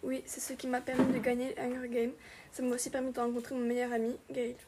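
Woman voicing an archer rebel heroine, earnest, even pitch. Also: fast, noisy background, natural voice.

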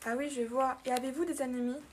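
A woman's voice: high voice, fairly even intonation, fast talker, a little mellow, a little hiss or hum. A woman journalist asking polite, scripted interview questions.

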